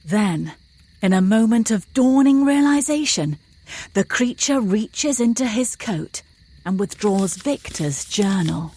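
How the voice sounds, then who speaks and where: Husky woman narrating an audio drama. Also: mid-range voice, animated, slow pace, a little mellow.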